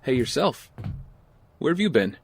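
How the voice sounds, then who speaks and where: An actor playing a weary, guarded castaway with a secret life, speaking in a measured, understated way. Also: male voice, mid-range voice, very animated, slight background noise. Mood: relaxed, fond. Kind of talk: audio drama acting.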